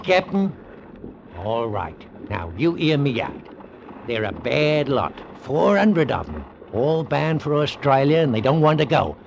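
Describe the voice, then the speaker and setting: Hard ship's mate, sardonic, gravelly, noisy old radio recording. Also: male voice, a little high, full character voice. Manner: sardonic, rough colloquial speech. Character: hard, sneering ship's first mate, callous and cunning. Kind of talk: old-time radio drama acting.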